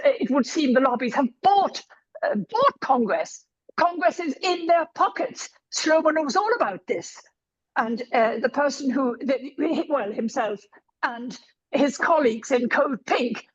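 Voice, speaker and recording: A woman with a high, croaky voice speaks over a video call, and her sound comes through muffled and lo-fi. She makes her case emphatically and hammers key phrases twice. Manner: emphatic, repeats points for effect. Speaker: meeting participant. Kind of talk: opinionated commentary in an online meeting. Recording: dull lo-fi video call audio.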